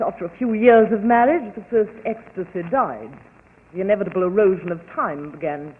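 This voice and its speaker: An actress playing an anxious wife confessing to a doctor, speaking in hesitant, halting bursts in 1940s radio style. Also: female voice, medium pitch, slightly rough. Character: anxious young wife fearing her own urges. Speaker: patient. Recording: tinny old radio broadcast with hiss.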